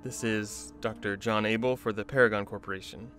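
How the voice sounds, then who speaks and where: A man's voice: on the low side, steady tone, brisk, a bit bright, soft background music, natural voice. A man playing a driven, secretive and resourceful research doctor in an audio drama. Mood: clinical, matter-of-fact.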